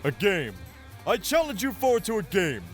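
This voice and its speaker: A man's voice: high voice, wildly swooping pitch, normal speed. A man playing a menacing, vengeful game master boy in a taunting, theatrical audio manga style, with music behind. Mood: sinister taunting. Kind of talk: audio manga dramatic acting.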